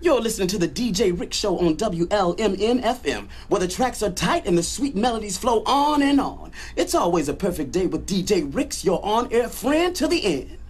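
An actor playing a jittery, paranoid American radio disc jockey in a video game, with an urgent, stammering delivery. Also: male voice, high-pitched, big pitch swings, moderate pace, hardly any pauses, breathy edge, full character voice. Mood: smooth patter.

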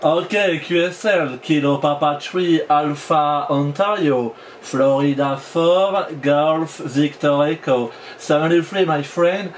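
French-accented male radio amateur, halting delivery, a touch higher-pitched, light room noise behind. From France. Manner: halting, deliberate. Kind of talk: ham radio contact, phonetic spelling. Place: indoor home radio station.

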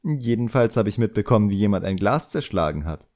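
A man voicing a caring, concerned female tutor in an audiobook, gently and anxiously questioning. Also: on the low side, animated, brisk, close to an everyday voice. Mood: cheerful, curious.

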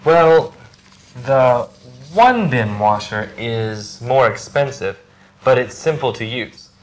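A young man acting out simple English lesson dialogues in a clear, deliberate voice. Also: mid-range voice, lively intonation, rough recording. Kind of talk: slow scripted classroom dialogue.

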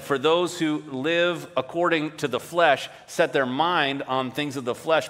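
A man's voice: medium pitch, a bit bright. A man preaching as a pastor in a conversational, explanatory way, often checking in with rhetorical questions. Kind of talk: sermon, teaching from scripture in a conversational way. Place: large indoor hall, speaking to a congregation. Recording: long reverb of a large hall.

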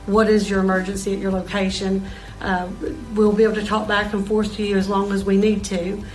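A woman's voice: mid-range voice, little pitch movement, a little fast, poor audio. A middle-aged woman, giving an informational explanation as a dispatch supervisor. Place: small dispatch room indoors. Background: music.

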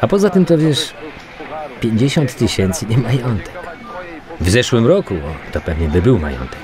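Man acting a brusque homicide detective, lively intonation. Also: medium pitch, moderate pace, a crowd in the background, lightly acted. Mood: wry, relaxed. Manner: brusque, colloquial, swearing. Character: weary, brusque male homicide detective in 1991 Poland. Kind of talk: naturalistic radio crime drama acting.

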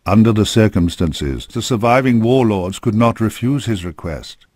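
Male narrator for fantasy game cutscenes; lowish register, grave formal storytelling, dry studio sound. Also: lively intonation, normal speed, darkish tone, dry recording. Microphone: close studio microphone.

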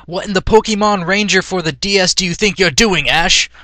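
A man's voice: sits high, fairly quick, sharp tone, no room sound, pitched above the performer's own voice. A man voicing a smug, worldly older teenage boy, explaining things in a knowing, emphatic way. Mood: indignant.

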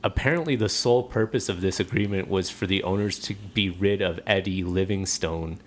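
Deep voiced guy co-hosting a sports podcast, faint noise behind him. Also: brisk. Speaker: podcast co-host. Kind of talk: casual hockey history segment.

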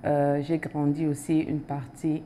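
A woman in her thirties from Germany and Switzerland, native German speaker, answering interview questions softly and hesitantly in a TV studio. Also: low-pitched, completely flat delivery, a little slow, slight background noise. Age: 30s. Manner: soft-spoken, hesitant, brief answers. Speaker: foundation president, guest. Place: TV studio set.

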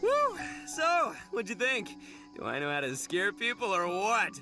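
A man's voice: high voice, huge pitch swings, unhurried, exaggerated voice. A man voicing a boastful, brash young oni demon in an anime-style video game. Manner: brash, showy. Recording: game music behind.

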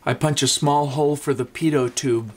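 Middle-aged man, workshop instructor, even explanatory delivery, mid-range voice. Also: medium pitch, normal speed, background noise. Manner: steady, explanatory, gestures while talking. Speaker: woodworking instructor. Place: small home workshop, indoors.

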